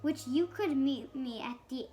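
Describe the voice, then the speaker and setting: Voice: sits high, a little slow, mellow, faint music behind. A child of about seven or eight reading a picture book aloud, stumbling now and then on harder words. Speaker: young reader.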